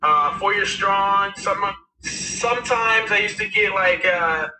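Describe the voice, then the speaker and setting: A raspy-voiced man in his thirties, a musician guest telling his story in a rambling, casual way with many fillers. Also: sits high, slow pace, bright, forceful tone, echoey room, recorded from a distance, low-quality recording. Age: 30s. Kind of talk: casual interview talk.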